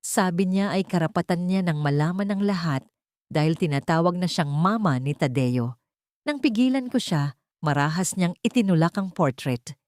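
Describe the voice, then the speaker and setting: A woman's voice: lowish voice, normal speed, a little mellow, no room sound, clean studio sound, close to an everyday voice. A woman voicing a cruel, scheming older woman who turns falsely tearful and pleading in a dramatic audio storybook. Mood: false tearful accusation.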